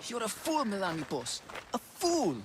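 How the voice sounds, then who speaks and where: An actor playing a gushing, lovesick ancient Greek athlete in a video game, fretful and theatrical. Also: male voice, sits high, wildly swooping pitch, moderate pace, very noisy. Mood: distraught, lovesick. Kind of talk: video game cutscene acting.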